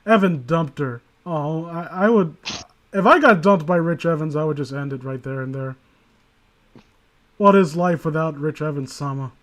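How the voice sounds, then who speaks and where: A man's voice: fairly high pitch, moderate pace. A man from New York City hosting a stream, reading a story aloud with sarcastic, joking, foul-mouthed banter.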